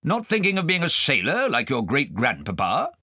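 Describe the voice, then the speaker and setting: A male narrator voicing a stout, pompous, blustering gentleman guardian who fires off questions in an audiobook. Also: mid-range voice, huge pitch swings, normal speed, slightly rough, no room sound, studio quality, voice pushed up, exaggerated voice. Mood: patronizing. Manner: pompous, quizzing with "eh?".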